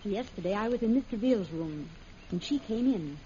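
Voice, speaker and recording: A woman's voice: medium pitch, expressive, normal speed, dark tone, natural voice. An actress playing an anxious, earnest young woman in a jungle intrigue, in breathless 1940s radio serial style. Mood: earnest, curious. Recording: muffled, noisy old radio recording.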